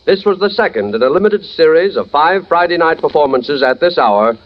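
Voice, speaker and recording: An American man with a fairly high, highly animated delivery, announcing in dramatic 1940s radio style. The old recording is dull, with light music behind him. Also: fairly quick.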